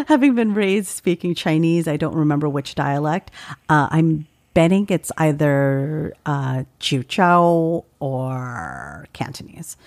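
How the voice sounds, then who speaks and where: A woman's voice: deep voice, expressive, slow, a little mellow. A woman co-hosting a podcast, reading listener letters aloud in casual chat and laughing often. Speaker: podcast co-host.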